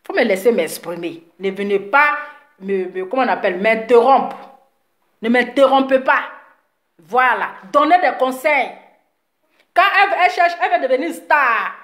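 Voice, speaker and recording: A high-voiced woman rants heatedly to her online audience, circling back to the same points over and over. She sounds a little congested from a cold, and her voice rings in an echoey room. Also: lively intonation, normal speed, a bit bright. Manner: heated, repetitive, scolding. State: slight cold. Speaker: social media commentator. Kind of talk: live video rant to followers.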